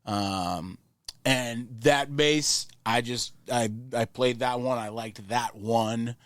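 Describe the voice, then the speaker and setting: A man from New Orleans talks as a podcast guest in a close, dry recording. His pitch sits in the middle for a man. He rambles through his points enthusiastically, in loose, casually profane conversation. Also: takes it slowly, a bit bright, no echo. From New Orleans, Louisiana. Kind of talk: casual interview chat.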